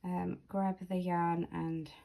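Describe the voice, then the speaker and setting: A woman with a slightly croaky voice giving casual, step-by-step craft instruction as a tutorial host, speaking carefully and clearly into a built-in microphone. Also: on the low side, flat delivery, slow, dark tone. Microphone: camera or phone's built-in microphone, no external mic.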